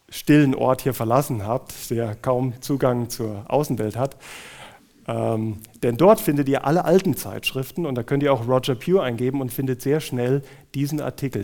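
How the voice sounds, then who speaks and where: Hessian man introducing a speaker. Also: mid-range voice. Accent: Hessian German. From Hesse, Germany. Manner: warm, personal, joking asides to the audience. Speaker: conference moderator introducing a speaker. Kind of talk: church conference introduction.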